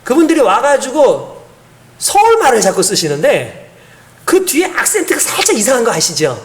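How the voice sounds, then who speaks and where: A man's voice: extremely high pitch, huge pitch swings, normal speed. A male pastor delivering an earnest, emphatic sermon, asking the congregation rhetorical questions and chuckling now and then.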